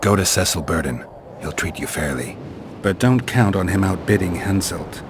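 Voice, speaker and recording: An actor playing a stoic, world-weary monster hunter in a video game, terse and deadpan in a deep, gruff voice. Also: male voice, moderate pace. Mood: matter-of-fact. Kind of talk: video game character acting. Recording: clear background ambience noise.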